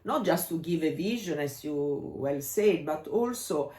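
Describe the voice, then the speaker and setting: A woman's voice: deep voice, expressive, measured pace, warm tone. A woman with an Italian accent, explaining as a dance coach in casual discussion, hesitant and searching for English words. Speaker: dance coach and podcast co-host. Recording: echoey room.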